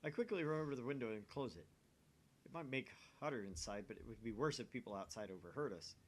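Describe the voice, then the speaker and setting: A man's voice: mid-range voice, expressive, quick pace. A man reading narration aloud for a voice-acted game playthrough. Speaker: visual novel let's player reading aloud.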